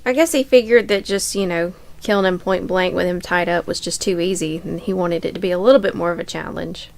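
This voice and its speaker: A woman chatting casually as a podcast co-host, with brief, dry, low-key replies. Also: steady tone, a little fast. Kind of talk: casual chat.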